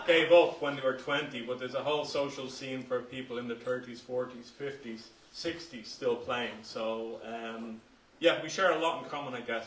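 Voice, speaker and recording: A man's voice: medium pitch, fairly bright tone. A man giving a short, friendly but hesitant speech full of ums at an evening event. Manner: hesitant, friendly, filler-heavy. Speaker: cricket club member, guest speaker. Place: function hall. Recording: roomy hall from a distance, faint noise.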